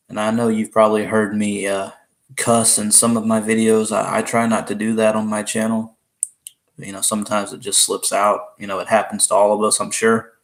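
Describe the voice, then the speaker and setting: A deep-voiced Southern man in his twenties from Alabama, talking loosely and chattily to viewers on a live stream. His low voice has a gravelly edge and stays fairly flat, with a rambling, easygoing flow. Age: 20s. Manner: rambling, chatty, laughs often, restarts. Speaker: livestream host. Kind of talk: casual live chat with viewers.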